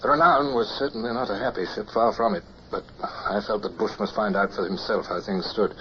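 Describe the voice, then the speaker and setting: An actor playing a reserved, dutiful naval officer, speaking in a clipped, formal, measured manner in period radio drama style. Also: male voice, fairly low pitch, fairly even intonation, close to an everyday voice. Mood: grave, reflective. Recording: dull old radio recording with hiss.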